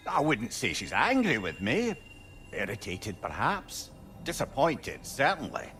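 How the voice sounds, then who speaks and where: An actor playing a wry, encouraging old sage with a Scottish accent and chatty phrasing in a video game. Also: male voice, mid-range voice, wildly swooping pitch, unhurried, a bit bright, faint music behind. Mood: wry, evasive. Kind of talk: dramatic video game acting.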